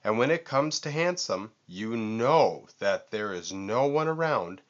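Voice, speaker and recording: A man voicing a vain, boastful storybook vulture with a squawky, screechy voice and theatrical, drawn-out emphasis. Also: fairly low pitch, animated, dull audio. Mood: boastful, smug.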